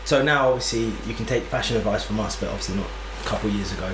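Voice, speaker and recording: London bloke hosting, slangy teasing banter, echoey room, faint noise. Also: male voice, lowish voice, very fast. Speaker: podcast host. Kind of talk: casual banter.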